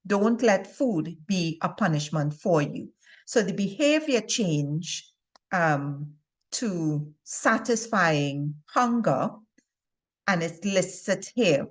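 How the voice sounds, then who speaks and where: A woman with a Trinidadian accent speaks at a slow pace in a slightly low voice. She presents health advice informally, straight to her viewers, in a deliberate way that leans on key words for emphasis. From Trinidad and Tobago. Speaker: health and wellness video presenter. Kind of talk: informal instructional talk to viewers.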